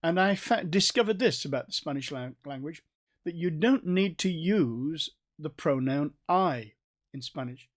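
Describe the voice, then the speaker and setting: A man with a North Staffordshire accent giving a recorded Bible study in a conversational way, with restarts and gentle asides. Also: a little high, expressive, unhurried. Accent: North Staffordshire English. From England. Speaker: Bible teacher.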